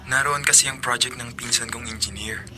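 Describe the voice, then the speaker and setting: A man's voice: medium pitch, steady tone, a little fast, pushed and bright, a little hiss or hum. An actor playing a young man who keeps apologizing and making promises to his girlfriend. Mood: apologetic. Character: young man, absent boyfriend looking for work. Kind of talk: radio drama acting.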